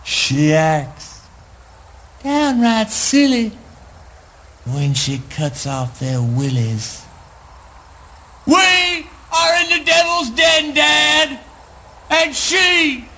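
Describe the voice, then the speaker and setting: An actor playing an eccentric, childlike, morbid son in a spoken word play, in a singsong, drawn-out, taunting manner. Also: male voice, very high voice, very animated, bright, forceful tone, heavily acted. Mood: gleeful, morbid, then fearful.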